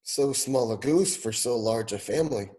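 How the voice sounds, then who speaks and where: A man's voice: medium pitch, normal speed, warm tone, lightly acted. A man playing a sour old miser, now humbled, speaking formally and earnestly in a radio play. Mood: moved, observing.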